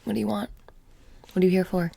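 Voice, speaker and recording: A woman playing a sly, mysterious witch shopkeeper in a soft-spoken ASMR roleplay, hushed and breathy, slipping in and out of whispers. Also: mid-range voice, steady tone, fast talker. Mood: probing, coaxing.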